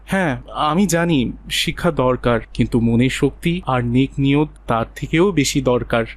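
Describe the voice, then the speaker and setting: A man's voice: medium pitch, moderate pace, lightly acted. A man playing a kindly adult uncle in a moral cartoon story, counselling in an earnest, reassuring way. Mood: gentle encouragement. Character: kindly, encouraging adult uncle advising a boy.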